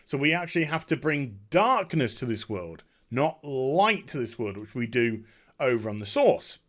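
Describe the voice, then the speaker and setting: A man talking casually and off the cuff as a video host, emphatic and excitable, often halting and repeating his words. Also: medium pitch. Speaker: video host giving a game story discussion. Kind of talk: unscripted casual rambling commentary.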